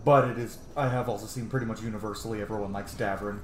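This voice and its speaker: A man's voice: fairly low pitch, fairly even intonation, fast talker. Echoey, rambling man doing game commentary. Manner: rambling, opinionated, conversational. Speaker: video game streamer. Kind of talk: casual let's-play commentary. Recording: echoey room with noise behind.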